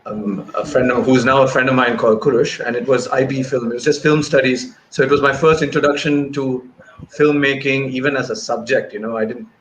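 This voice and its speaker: A man's voice: medium pitch, fairly even intonation, brisk, fairly bright tone. A man in his thirties talking conversationally as an interview guest, speaking on a video call through earphones. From grew up in Bahrain and Malaysia. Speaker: guest filmmaker. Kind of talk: conversational interview answer, recounting career. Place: indoor room, remote video call. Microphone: wired earphones on video call. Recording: noisy video-call audio.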